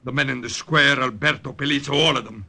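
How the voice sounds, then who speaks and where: An actor playing an angry, bitter partisan leader in an old radio drama, raspy and accusing. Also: male voice, medium pitch, fairly even intonation, fast talker, heavily acted. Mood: bitter, vengeful. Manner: forceful, accusing. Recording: vintage radio drama recording.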